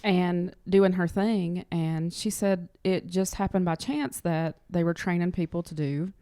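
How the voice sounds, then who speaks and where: Low-pitched woman chatting casually, dry sound. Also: unhurried, dark tone, clean tone, dry recording. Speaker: interview guest, clinic front office worker. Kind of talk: casual chat, telling anecdotes.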